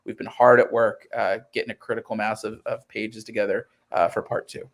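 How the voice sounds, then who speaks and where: A man's voice: fairly low pitch, little pitch movement, a little fast. A man in his thirties talking as an interview guest, explaining his creative work earnestly and acting out quotes as he goes. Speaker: interview guest, comic book writer. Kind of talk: conversational interview storytelling.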